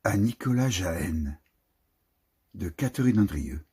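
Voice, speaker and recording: A man giving a literary reading of a prose poem in a solemn, declamatory manner with deliberate phrasing. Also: deep voice, lively intonation, darkish tone, breathy edge.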